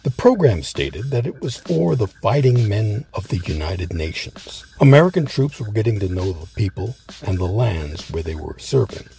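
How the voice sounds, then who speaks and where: A man with a husky, gravelly voice reading scripted documentary narration. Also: lowish voice, big pitch swings, moderate pace, hardly any pauses, mellow. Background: faint music.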